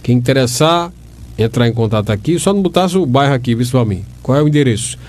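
A man's voice: mid-range voice, expressive, fast, darkish tone. A man co-hosting a radio show, chatting casually and reading out a listener's notice. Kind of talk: casual chat.

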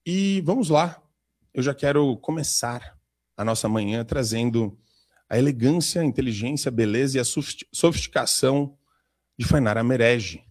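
A man's voice: mid-range voice, expressive, moderate pace, a little mellow. A man hosting a live political talk show, joking and sarcastic, with a stuffy nose from a cold.

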